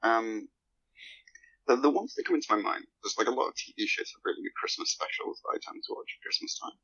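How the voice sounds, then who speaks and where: A man's voice: medium pitch, wildly swooping pitch, quick pace, creaky, pushed and bright, husky, dull audio. A man chatting casually as a podcast guest, rambling a little hesitantly.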